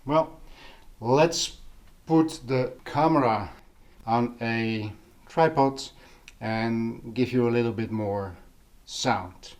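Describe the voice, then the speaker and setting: A man talks as a vlog host in a casual, explanatory way. His delivery is very unhurried, with gaps inside sentences. The pitch sits in an ordinary middle range for a man. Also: a little mellow. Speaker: vlog host reviewing hi-fi audio gear. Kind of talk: casual informal product talk to camera.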